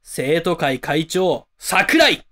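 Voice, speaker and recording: A man playing a pompous, fanatical high school club president in a voice drama, theatrical, grandiose and indignant. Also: very animated, extremely slow, bright, forceful tone, full character voice. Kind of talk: amateur voice drama acting.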